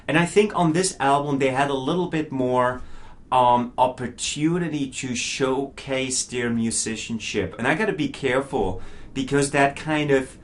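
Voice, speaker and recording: A middle-aged man who did not grow up speaking English talks through an album review in a loose, casual way. He is eager and keeps tripping over repeated words as he goes. His voice sits at an ordinary middle pitch. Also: moderate pace.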